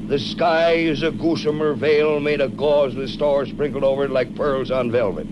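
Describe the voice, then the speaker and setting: A man's voice: fairly even intonation, normal speed, muffled recording, lightly acted. An actor playing a bewildered, exasperated everyman sidekick in a folksy old-time radio adventure. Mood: puzzled. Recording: noisy old radio recording, car rumble.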